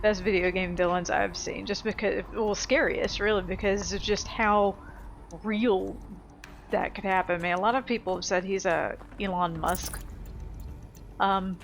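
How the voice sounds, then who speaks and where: Woman, mid-pitched voice, casual game commentary, blunt, opinionated, sweary, faint noise behind. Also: fairly even intonation, a little slow, creaky. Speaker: gaming video host. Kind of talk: casual, unscripted let's play commentary.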